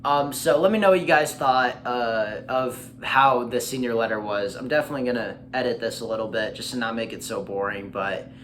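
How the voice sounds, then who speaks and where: A man's voice: medium pitch, a little fast, hardly any pauses, fairly bright tone, a little hiss or hum. A young American man in his late teens chatting casually as a vlogger, in a rambling, hesitant way that often circles back on itself. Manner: hesitant, rambling, repeats himself. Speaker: vlogger and streamer. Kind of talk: casual chat to camera, reading and reacting to a letter.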